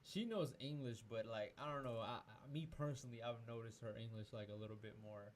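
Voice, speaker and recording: A man's voice: mid-range voice, a little fast. Podcast host, man in his 20s from Peru, profane and loose, lots of restarts, faint hiss. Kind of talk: casual chat.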